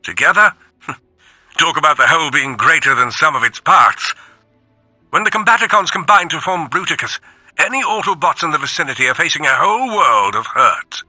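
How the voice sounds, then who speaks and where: A brisk robot officer in a video game log. He is fairly high and very bright, emphatic and instructive, with faint music behind a dry recording. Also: lively intonation, no room sound, exaggerated voice. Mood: admiring.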